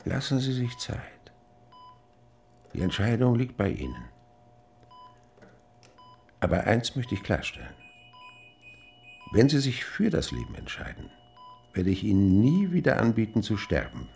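Ernster Arzt mit tiefer, knarrender Stimme. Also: male voice, fairly low pitch, wildly swooping pitch, creaky, a little mellow, slight background noise, exaggerated voice. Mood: grave, insistent. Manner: deliberate, solemn, measured. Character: grave, conscientious male hospital doctor. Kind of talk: German radio drama acting.

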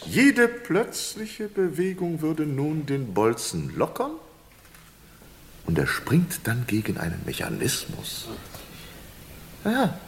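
A condemned prisoner in a radio crime play, taunting his captors with elaborate courtesy. He takes his time, savors every pause, and lets his pitch swing widely. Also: big pitch swings, a little hiss or hum, heavily acted. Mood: sly explaining. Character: polite, mocking condemned male prisoner with a bomb inside. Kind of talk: German radio crime drama acting.

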